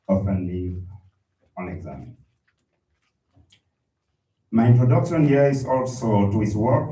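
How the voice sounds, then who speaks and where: A very deep, dark-voiced man speaking slowly and formally as he introduces a speaker. His words are measured and dotted with hesitant fillers, and they come from far off in an echoey conference hall. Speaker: conference chair. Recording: echoey hall from a distant mic.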